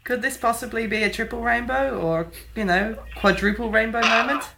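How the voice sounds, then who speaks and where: A woman's voice: on the high side, normal speed, vocal fry. A woman, chatting casually on a speakerphone call in a living room. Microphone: handheld camera nearby. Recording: faint noise, handheld camera indoors.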